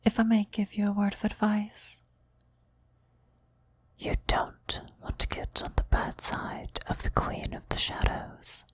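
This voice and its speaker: A woman playing a devoted, eerily reverent cultist in an ASMR roleplay, speaking in a hushed, breathy whisper. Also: mid-range voice, monotone, unhurried, close to an everyday voice. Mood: serene, fanatical. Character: devoted female cultist, eerily reverent servant of demon queen. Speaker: voice actor in an audio roleplay.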